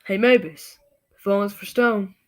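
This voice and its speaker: A woman's voice: medium pitch, steady tone, normal speed, background noise, lightly acted. A woman acting in an old-time radio play. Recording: school radio play with music behind.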